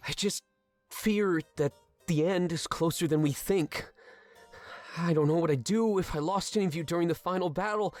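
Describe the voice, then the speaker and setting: Casting: male actor as repentant rogue turned loyal servant, fantasy drama. Slightly high voice, anxious, earnest, humble. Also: normal speed, darkish tone, soft background music, lightly acted. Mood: anxious, pleading. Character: reformed male swindler, remorseful, anxious, devoted servant of the monarch. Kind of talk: fantasy audio drama acting.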